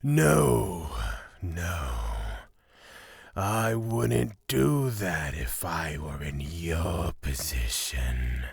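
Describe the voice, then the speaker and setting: An actor playing a menacing, scornful god of fear in a fantasy audio drama, gravelly and threatening. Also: male voice, low voice, expressive, no room sound, exaggerated voice. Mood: cold warning. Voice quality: gravelly, husky. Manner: slow, deliberate, threatening.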